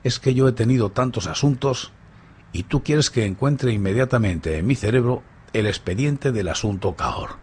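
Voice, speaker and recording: A man playing a suave, playful, boastful gentleman thief in a radio drama, with mocking, grandly courteous theatrical delivery. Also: medium pitch, lively intonation, moderate pace, husky.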